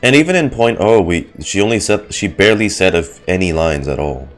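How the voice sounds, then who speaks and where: Guy streaming a game, deep voice, slow n halting, wry, faint music. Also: expressive. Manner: halting, wry, self-joking. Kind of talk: casual live gameplay commentary.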